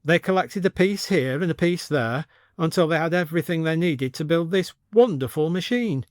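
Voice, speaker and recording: Man as elderly village woman, genteel, cosy, sweetly chiding, raised pitch, dry close sound, audio story. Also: animated, normal speed, no room sound, close to an everyday voice. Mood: fond, proud. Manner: genteel, cosy, indignant when crossed.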